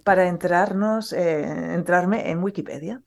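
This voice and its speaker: A woman from Catalonia, a geology professor, answering interview questions in a measured, modest, explanatory way. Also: fairly low pitch, darkish tone. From Catalonia, Spain.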